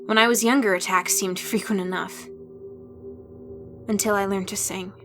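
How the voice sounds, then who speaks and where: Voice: mid-range voice, fairly even intonation, normal speed, over music, voice pushed up, close to an everyday voice. A narrator voicing a devout, earnest teenage village girl, solemn and sincere, in an audiobook. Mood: solemn, wistful. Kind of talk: audiobook character voice.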